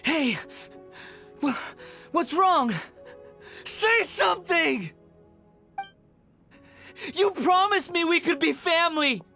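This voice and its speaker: A woman's voice: very high voice, lively intonation, slow pace, vocal fry, long pauses, bright, forceful tone, soft background music, heavily acted. A woman voicing a bitter, haughty, wounded young male puppet in a video game. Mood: distraught, betrayed.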